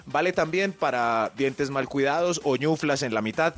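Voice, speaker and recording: Animated radio host man. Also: medium pitch, moderate pace, soft background music. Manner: playful, teasing, animated delivery. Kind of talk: comic radio banter.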